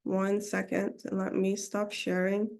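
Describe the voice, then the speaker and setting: A woman's voice: medium pitch, monotone, measured pace, vocal fry, darkish tone. Hesitant woman with a cold. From Seattle, United States. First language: Somali. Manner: hesitant, many ums, restarts mid-phrase. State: getting over a cold. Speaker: community presenter. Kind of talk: online webinar presentation. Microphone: video call.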